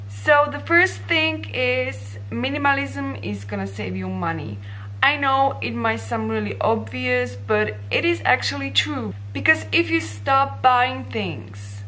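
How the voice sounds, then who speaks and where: A woman's voice: fairly high pitch, a bit bright, poor audio. A young woman from Slovakia with a Slovak accent, talking straight to camera in a vlog and gesturing with her hands as she explains. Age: young adult. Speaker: vlogger. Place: bright indoor office room.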